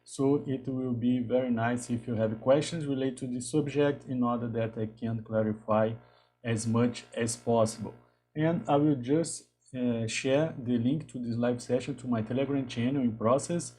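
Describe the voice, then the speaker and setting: A man in his thirties from Brazil with a Brazilian Portuguese accent, talking casually on a live stream in a halting way with frequent restarts. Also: mid-range voice, fairly even intonation, dark tone, rough recording. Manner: halting, restarts often, greets viewers. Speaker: engineer hosting a live stream.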